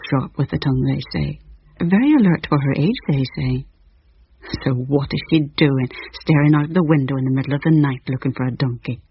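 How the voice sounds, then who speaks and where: A man's voice: mid-range voice, brisk, slightly rough, no echo. A man narrating a radio drama with a wry storytelling manner, on dull, dry old audio. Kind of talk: scripted narration.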